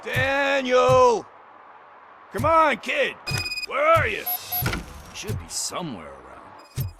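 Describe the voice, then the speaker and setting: An actor playing a brash, easygoing police partner in a video game, talking casually with sarcastic jokes. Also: male voice, very high voice, wildly swooping pitch, slow, gravelly, noisy background, exaggerated voice. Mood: alarmed, scolding, then curious, wry.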